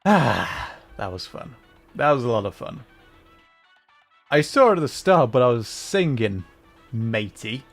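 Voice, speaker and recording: A man giving casual livestream commentary as he plays a video game, his voice slightly gravelly. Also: medium pitch, big pitch swings, measured pace, pauses often, faint music behind.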